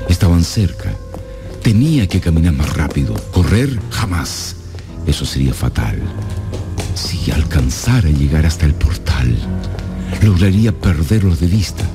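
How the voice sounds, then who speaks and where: Male narrator for radio mystery drama: extremely deep voice, measured suspense, music underneath. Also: sing-song.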